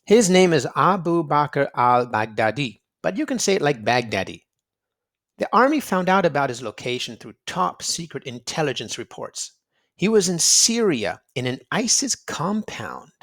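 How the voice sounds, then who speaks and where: A man narrates a factual picture book for children. His voice sits a little high and moves with very varied intonation. He stresses key words and explains things directly to young listeners. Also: big pitch swings, moderate pace, a bit bright. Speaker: children's read-aloud narrator. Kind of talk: read-aloud storybook narration for children.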